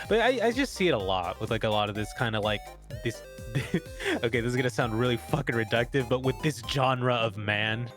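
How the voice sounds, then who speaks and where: A man's voice: mid-range voice, big pitch swings, a little fast, over music. A young man chatting casually on a stream, rambling with lots of fillers and restarts. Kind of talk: casual chat.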